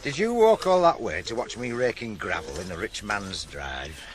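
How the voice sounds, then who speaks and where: An actor in a 1980s radio drama playing a curt, guarded working-class father with a Northern English accent and a gruff, dismissive way of talking. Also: lowish voice, lively intonation, normal speed, close to an everyday voice. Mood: bitter. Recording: faint noise on old radio broadcast.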